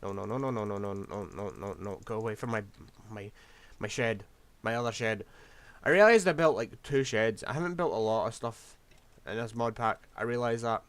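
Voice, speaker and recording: Hoarse rambling man. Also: lowish voice, animated, moderate pace, no echo. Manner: rambling, scatterbrained, self-deprecating. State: losing his voice. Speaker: game streamer. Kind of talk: casual gameplay commentary.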